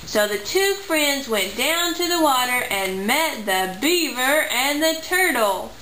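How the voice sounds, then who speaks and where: A woman's voice: sits high, lively intonation, slow, sharp tone. A woman narrating a simple puppet-show story for children at home. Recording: echoey room, faint background noise.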